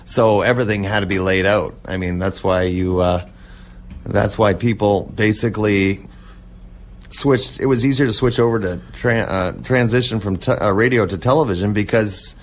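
Low-voiced man chatting in an interview. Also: normal speed. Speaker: interview guest, old-time radio collector and restorer. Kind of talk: casual radio interview talk.

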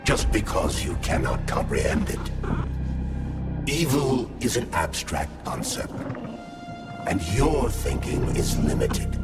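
An actor playing an arrogant villain scientist who scorns humanity, lecturing with contempt in a game cutscene. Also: male voice, deep voice, exaggerated voice. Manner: contemptuous, lecturing, swings from slow menace to shouting. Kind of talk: video game cutscene acting. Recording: loud orchestral score under voice.

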